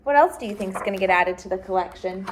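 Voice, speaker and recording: A woman's voice: mid-range voice, fast, a little hiss or hum, low-quality recording. A woman reading a children's picture book aloud as a storyteller, expressively and engaging her listeners with questions.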